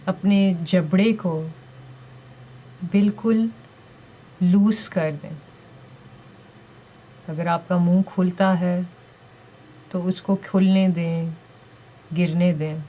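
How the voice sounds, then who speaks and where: A woman leading a guided meditation with calm, gentle, deliberate instructions and pauses between phrases. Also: fairly low pitch.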